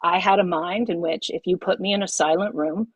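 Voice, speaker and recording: A middle-aged woman teaching a group as a yoga teacher over a video call, her voice husky and a little low. She talks with expressive emphasis and repeats her key lines. The sound is dry and close. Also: fairly quick, dry recording, highs cut off. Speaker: yoga teacher leading a masterclass.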